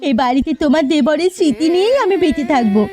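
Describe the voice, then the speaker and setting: High-pitched, sorrowful young widow voiced by a woman. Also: lively intonation, fast talker, warm tone. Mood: sorrowful, resigned. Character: sorrowful, meek young widowed daughter-in-law, gentle and loyal. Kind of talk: Bengali cartoon fairy-tale acting.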